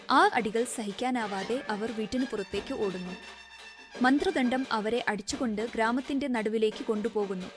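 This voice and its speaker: High-pitched woman storyteller. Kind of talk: scripted narration of a cartoon moral story.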